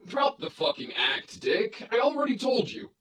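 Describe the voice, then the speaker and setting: A woman's voice: a little high, sing-song, moderate pace, roomy sound, recorded from a distance, pitched above the performer's own voice. Woman voicing a brash, cursing young vigilante. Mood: angry. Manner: blunt, gruff and swearing.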